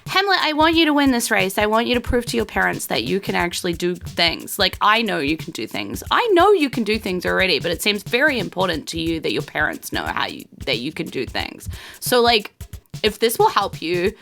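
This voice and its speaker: A woman's voice: mid-range voice, sing-song, a little fast, gravelly, a bit bright, a little hiss or hum. A woman playing a young adventurer's supportive, blunt friend in a casual, encouraging, slightly exasperated way.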